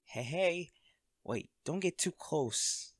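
A man's voice: fairly high pitch, expressive, slow pace, no echo, studio quality, exaggerated voice. A man playing a cocky college volleyball captain. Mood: teasing. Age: young adult. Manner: laid-back, laughs often, swears casually. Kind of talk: audio roleplay to a listener.

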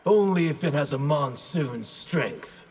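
A stern masked ninja master in a fighting game. He speaks solemnly and formally, with a slightly husky grain and a hall-like reverb around the voice. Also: on the high side, expressive, slow pace, darkish tone, heavily acted. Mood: solemn, resolute. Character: stern, honor-bound masked ninja clan leader. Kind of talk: dramatic fighting game dialogue.

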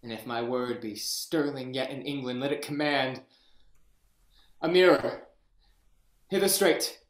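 An actor playing a deposed young king, bitter and theatrical, in a play reading over a video call, swinging from hushed whispers to anguished shouts. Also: medium pitch, a little slow, echoey room, close to an everyday voice. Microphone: webcam on a video call.

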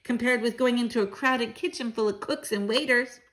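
Woman putting on an eager high-pitched mouse boy. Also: normal speed, fairly bright tone, lightly acted. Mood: eager, excited. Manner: eager, exclaiming, breathless questioning. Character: excitable young boy turned mouse, eager, questioning grandchild.

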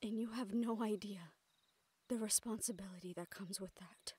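A woman's voice: medium pitch, normal speed, a little mellow, breathy edge, dry recording. A woman acting a caring, encouraging siren-like mentor in an intimate audio roleplay, with a tender, earnest manner. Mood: tender, reassuring.

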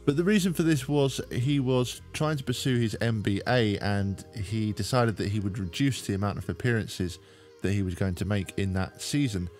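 A man's voice: on the low side, normal speed, darkish tone. Male sports video narrator over music. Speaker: host of a bowling video channel. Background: background music.

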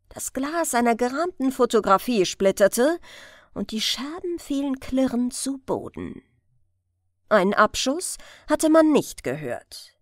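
Female audiobook narrator, higher-pitched, slow and measured, animated intonation, dry close recording. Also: dry recording. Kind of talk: scripted storytelling narration.

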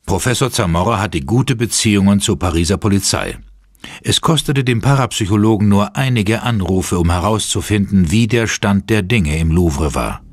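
An actor serving as a sober narrator of a scripted audio drama. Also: male voice, fairly low pitch, animated, normal speed. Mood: grave. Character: sober male storyteller.

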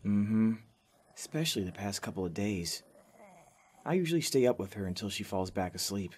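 A man's voice: lowish voice, lively intonation, normal speed, a little mellow, husky. A man with an American accent playing an earnest, jittery, caring young survivor in a zombie apocalypse audio drama. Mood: tender, subdued. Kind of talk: fan audio drama acting.

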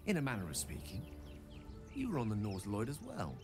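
A man's voice: lowish voice, wildly swooping pitch, a little fast, soft background music. An actor playing a courteous, wordy middle-aged male wizard in a video game, speaking in formal, theatrical phrasing. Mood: eloquent.

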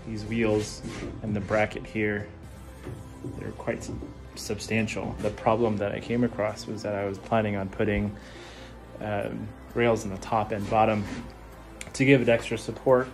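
A man's voice: fairly low pitch, a little slow, over music. A man in his thirties casually talking through a woodworking build in his home shop, thinking aloud with lots of ums. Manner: relaxed, thinking aloud with many fillers. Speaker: woodworker vlogger. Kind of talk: casual workshop vlog.

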